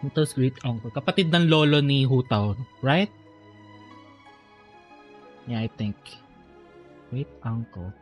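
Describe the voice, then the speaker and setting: A man's voice: medium pitch, lively intonation, unhurried, long pauses. Filipino man musing over a game. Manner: code-switching, musing aloud. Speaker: streamer. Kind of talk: casual gameplay commentary. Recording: faint game music behind the voice.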